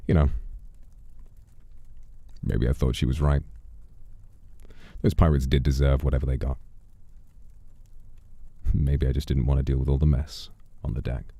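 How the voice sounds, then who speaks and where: A man telling a dramatic first-person story as a streamer, in a theatrical, wry and unhurried manner. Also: a bass voice, expressive, gravelly, warm tone, no echo.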